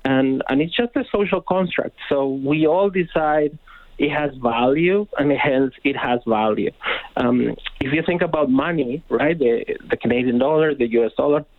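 A man's voice: mid-range voice, no room sound. Man from Argentina on a phone line. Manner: slow, measured, hesitant with fillers and restarts. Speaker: finance educator guest. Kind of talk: explaining finance on a radio call-in. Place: on a phone call into a radio studio. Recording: tinny phone line, dry.

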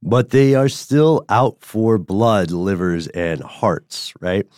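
Man, very deep voice, podcast co-host, casual animated storytelling, dry close mic. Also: takes it slowly, dry recording. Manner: animated, rising pitch when excited, frequent "right?" check-ins.

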